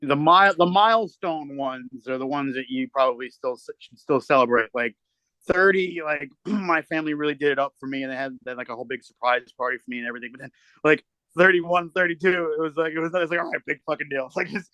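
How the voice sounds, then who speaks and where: A man's voice: on the high side, fast talker, sharp tone, no room sound, low-quality recording. A man in his thirties, co-hosting a casual podcast chat, talking candidly and swearing now and then. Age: 30s. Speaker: podcast co-host.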